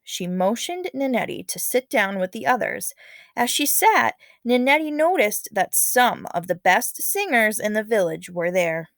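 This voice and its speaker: A woman's voice: fairly high pitch, sing-song, a little slow, a bit bright, no echo. Woman teacher reading aloud, dry close sound. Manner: instructive, checks in with okay and all right. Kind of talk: expressive read-aloud with casual teaching asides.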